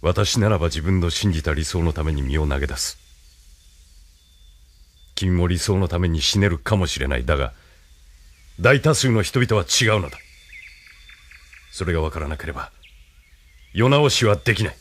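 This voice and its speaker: An actor playing a calm, imperious military leader in an audio drama, with a measured, condescending, lecturing manner. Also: male voice, sits low. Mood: cold, commanding. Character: calm, imperious male military leader, idealist turned cynical schemer. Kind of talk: anime-style audio drama acting.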